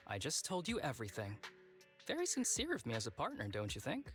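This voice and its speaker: A man's voice: mid-range voice, huge pitch swings, quick pace, fairly bright tone, soft background music. An actor playing a young male executive officer in a video game, breezy and playful with a casual, teasing, secretive way of talking. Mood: playful, coaxing. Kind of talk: voiced game dialogue.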